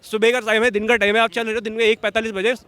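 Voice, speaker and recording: High-pitched, fast-talking young man. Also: flat delivery, pushed and bright. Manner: questioning, persistent, argumentative. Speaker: member of the public debating. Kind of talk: heated street debate.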